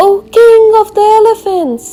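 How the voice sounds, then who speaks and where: A woman's voice: very high-pitched, expressive, normal speed, dark tone, faint music behind, voice pushed up. A woman reading a storybook, voicing a small young male rabbit messenger who is brave, polite and urgently pleading. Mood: urgent shouting. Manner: eager, courtly, urgent.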